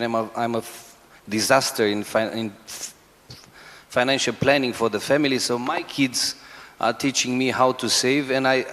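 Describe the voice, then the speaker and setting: A man's voice: mid-range voice, fairly bright tone. A man speaking English with a Romanian accent, telling a self-deprecating story as a conference panelist. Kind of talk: panel discussion anecdote. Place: large conference hall. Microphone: table microphone. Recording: reverberant conference hall.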